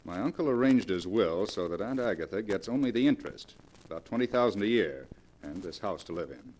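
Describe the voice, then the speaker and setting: An actor playing a greedy, scheming nephew who explains things earnestly, in 1940s radio drama style. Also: male voice, on the low side, expressive, fairly quick, darkish tone, low-quality recording. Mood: conspiratorial.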